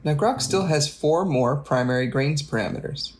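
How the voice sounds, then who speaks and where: Man presenting over loud instrument sounds. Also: fairly high pitch, normal speed, music in the background. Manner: methodical, technical step-by-step explaining. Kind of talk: tutorial narration demonstrating a music device. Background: loud demonstrated instrument sounds.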